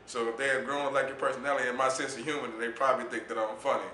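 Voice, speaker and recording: Deep-voiced laid-back man, bright edge. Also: flat delivery, racing, roomy sound, slight background noise. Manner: laid-back, reflective, says "you know what I'm saying" often. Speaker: interview guest, poet and youth mentor. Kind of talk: casual interview talk.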